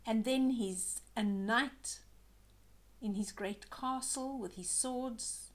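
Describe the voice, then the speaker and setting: Older lady reading a kids story, high lively voice, takes her time, chats about the pictures. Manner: animated, deliberate, chatting to young listeners about pictures. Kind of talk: expressive read-aloud children's storytelling.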